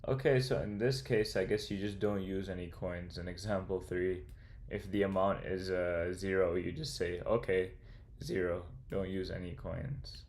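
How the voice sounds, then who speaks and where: A young man with a low voice and a gravelly, creaky edge, talking in an easygoing, slangy way. He thinks aloud through a coding problem and reads out viewer comments as a casual livestreamer. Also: steady tone, normal speed, a little mellow. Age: young adult. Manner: laid-back, slangy, calls viewers bro, thinks aloud. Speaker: streamer and computer science student.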